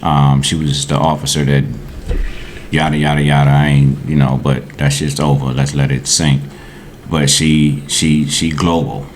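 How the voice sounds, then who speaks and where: A man's voice: very deep voice, flat delivery, measured pace, a little hiss or hum. An American man in his forties chatting casually as a podcast guest, blunt, slangy and profane. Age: 40s.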